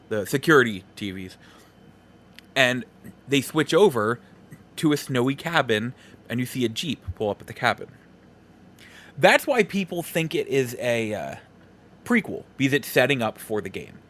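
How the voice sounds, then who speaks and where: Casting: male podcast host for a solo film review, informal and chatty, medium-pitched voice, prone to rambling asides. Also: fairly bright tone. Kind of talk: casual solo movie review talk.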